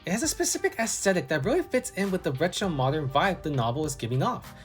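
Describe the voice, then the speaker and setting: A man delivers a scripted game review with an enthusiastic, very animated voice that rises and falls freely. He speaks as a reviewer presenting to viewers, with music playing behind him. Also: a little high, fast talker. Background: background music.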